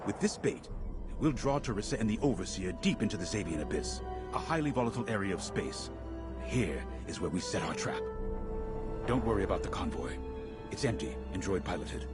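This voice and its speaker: An actor playing an earnest military commander in a space video game, measured and authoritative as if briefing pilots. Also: male voice, lowish voice, animated, loud music behind the voice, lightly acted. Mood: grave, resolute.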